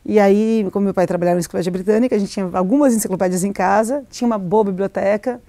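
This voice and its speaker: A middle-aged woman from Argentina talks through her memories in an interview, pausing, restarting and often laughing lightly. Her voice is mellow and soft. The sound is close and dry, with no room echo. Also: mid-range voice. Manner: hesitant, many restarts and fillers, laughs often. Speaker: novelist, interview guest. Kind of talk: reflective interview answers.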